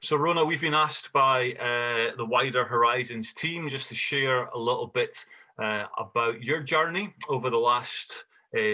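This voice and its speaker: A man leading a relaxed conversational interview, speaking with many fillers and restarts. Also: medium pitch. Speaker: interviewer, youth charity director.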